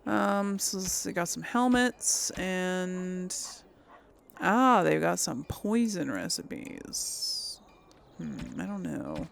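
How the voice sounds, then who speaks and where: A woman's voice: lowish voice, sing-song, drawn-out words, vocal fry, a little hiss or hum. A woman giving casual role-play game commentary, hesitant and thinking aloud as she goes. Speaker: gaming video host.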